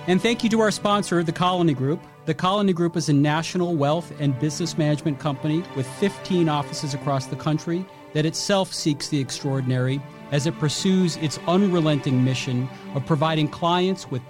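Casting: male host, slightly high voice, polished and upbeat, faint music behind. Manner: polished, enthusiastic, formal. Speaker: podcast host. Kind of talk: interview hosting and sponsor read.

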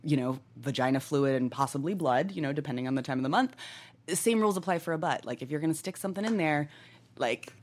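A woman co-hosting a live-streamed casual chat, animated and often laughing, with many fillers. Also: low voice, fast. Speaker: co-host. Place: livestream.

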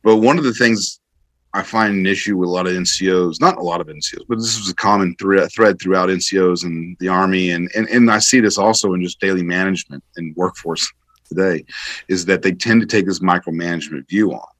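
Low-pitched man explaining things emphatically. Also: a little fast, a bit bright, dry recording. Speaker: interview guest, military veteran. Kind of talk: conversational interview storytelling.

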